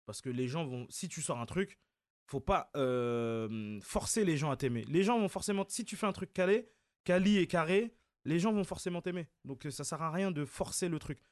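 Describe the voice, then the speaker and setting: A man's voice: a little high, expressive, normal speed, no echo, clean studio sound. A man in his thirties, a comedian, talking loosely in an interview with many fillers and restarts. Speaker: comedian and video creator. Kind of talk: relaxed interview talk.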